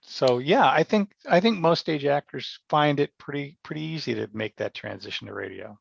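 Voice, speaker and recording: Gravelly man in casual interview talk. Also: a little high, lively intonation, dry recording, highs cut off. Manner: halting starts, explanatory, anecdotal. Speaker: podcast guest, stage actor and director.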